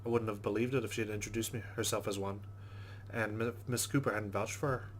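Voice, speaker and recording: A man's voice: on the low side, fairly even intonation, quick pace, slight background noise. A man hosting a let's play, giving casual game commentary and reading the game's text aloud.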